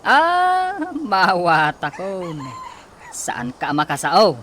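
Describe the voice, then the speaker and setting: A woman in an Ilocano radio comedy drama, nosy and given to loud exclamations. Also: fairly low pitch, huge pitch swings, extremely slow, a little hiss or hum. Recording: music bed under the voice.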